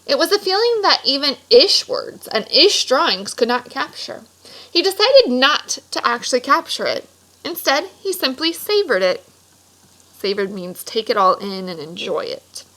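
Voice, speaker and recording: A woman reading a children's picture book aloud expressively, pausing for casual explanatory asides to young listeners. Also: high voice, big pitch swings, bright, forceful tone, low-quality recording. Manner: explanatory, stops to clarify words for children. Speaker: read-aloud storyteller.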